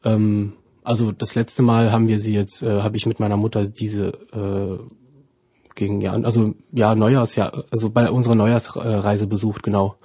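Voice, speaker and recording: A man's voice: fairly low pitch, level tone, normal speed, warm tone, breathy edge, no echo, studio quality. A man in his twenties from Ukraine who grew up partly in Germany, answering interview questions hesitantly and often correcting himself. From Ukraine, then Germany from about age seven. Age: 20s. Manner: hesitant, searching for words, self-correcting. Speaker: interview guest, student. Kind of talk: informal personal interview answers.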